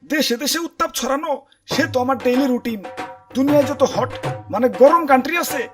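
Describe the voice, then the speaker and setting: A man's voice: very high-pitched, brisk. A man with a Bangladeshi accent voicing a boastful, flirtatious, hot-tempered sun in a comic cartoon, swaggering and sarcastic. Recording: music behind the voice.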